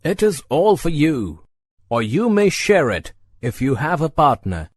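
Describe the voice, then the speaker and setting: A woman's voice: low-pitched, sing-song, unhurried, darkish tone, husky, no echo, studio quality, pitched below the performer's own voice, heavily acted. A woman reading a story voices a courteous, eager foreign businessman who keeps pressing his offer. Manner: courteous, coaxing.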